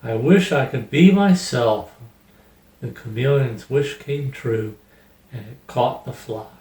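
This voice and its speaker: A man's voice: medium pitch, slow, darkish tone. A middle-aged man with dry, wry humor hosting a casual picture book reading and review. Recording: roomy echo, noticeable background hiss.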